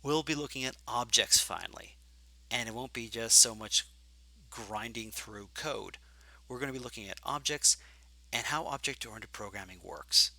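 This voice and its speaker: A man teaching programming in a live coding lecture, thinking aloud and correcting himself as he goes, his voice growing hoarse from long talking. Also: medium pitch, normal speed, bright, forceful tone, low-quality recording. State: getting hoarse.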